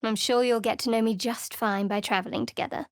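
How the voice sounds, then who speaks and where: An actress playing a guarded, wry young cleric of darkness in a video game, speaking in a measured way. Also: female voice, on the high side, fairly even intonation, no room sound, studio quality, close to an everyday voice.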